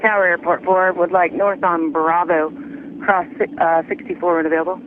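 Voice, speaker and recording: Airport vehicle driver, man, high voice, brisk radio calls, thin phone-like radio with faint static. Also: flat delivery. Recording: tinny radio transmission with static.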